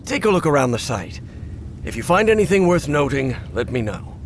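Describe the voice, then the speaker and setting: Formal, higher-voiced male professor. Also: very animated, brisk, noisy background. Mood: absorbed, scholarly. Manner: formal, precise diction. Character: protective, scholarly, stern male professor. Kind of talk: video game voice acting.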